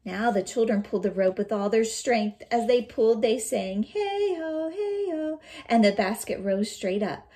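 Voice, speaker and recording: A woman reads a children's story aloud as a teacher would, in a fairly high, very animated voice. Her telling is dramatic and emphatic, with questions put to young listeners.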